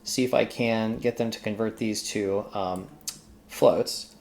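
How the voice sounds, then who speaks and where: A man giving a casual coding walkthrough, thinking aloud in a halting way as he works. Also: lowish voice, steady tone, creaky, a little mellow. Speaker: tutorial host, accountant who codes.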